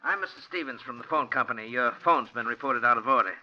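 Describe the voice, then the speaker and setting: An affable phone company repairman, as an actor plays him, talks quickly and easily. The 1940s recording is muffled and hissy. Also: mid-range voice, close to an everyday voice. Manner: brisk, affable. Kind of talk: 1940s radio acting.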